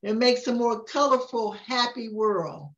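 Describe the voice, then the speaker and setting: Slow gentle older woman, dull recording. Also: fairly high pitch. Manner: slow, gentle, laughs often. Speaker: storytime reader. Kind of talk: casual chat about a picture book. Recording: dull lo-fi sound.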